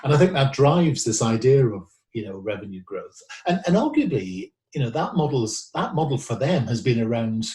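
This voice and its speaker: A man's voice: medium pitch, big pitch swings, normal speed, few pauses, mellow. Host man in a roomy room. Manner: polite, hesitant with ums. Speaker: podcast host. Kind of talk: podcast interview. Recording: roomy echo.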